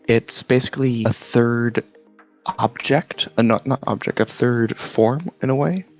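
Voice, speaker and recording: A man talking as a guest in a casual explanatory chat, halting as he searches for his words. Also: mid-range voice. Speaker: guest, series creator.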